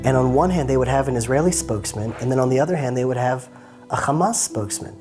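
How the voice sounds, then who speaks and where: A man's voice: medium pitch, fast talker, a little mellow, soft background music. A man in his thirties from Atlanta, giving an earnest personal testimony as an interview guest in short fervent phrases. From United States (Atlanta).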